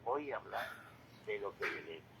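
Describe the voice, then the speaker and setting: Low-pitched older man, noisy line. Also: expressive. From Spain. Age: 60s or older. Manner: halting, repeats words, rhetorical questions. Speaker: retired regular contributor giving commentary. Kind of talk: reflective spoken monologue on local radio. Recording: clearly noisy radio feed.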